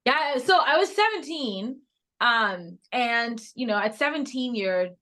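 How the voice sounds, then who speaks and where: A woman in her thirties from Cameroon, with a light Cameroonian English accent that leans American, telling her story in a reflective, animated way as a podcast guest. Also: fairly high pitch, a little slow. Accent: Cameroonian English, softened toward American. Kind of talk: casual interview conversation.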